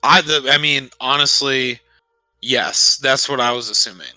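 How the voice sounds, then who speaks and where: A man chatting casually as a player in a tabletop game, halting as he thinks aloud. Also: mid-range voice, bright, forceful tone, no room sound, soft background music, rough recording. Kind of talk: casual chat.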